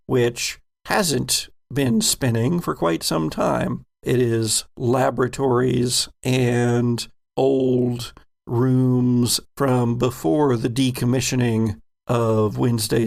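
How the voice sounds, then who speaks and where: A man narrating a horror sci-fi tabletop game as game master, in a deliberate, descriptive way. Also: medium pitch, gravelly, a little mellow.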